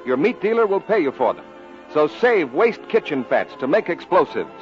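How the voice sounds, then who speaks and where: A man's voice: a little high, very animated, moderate pace. A man reading scripted announcements in a 1940s radio announcing style. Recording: dull vintage 1940s radio, music behind.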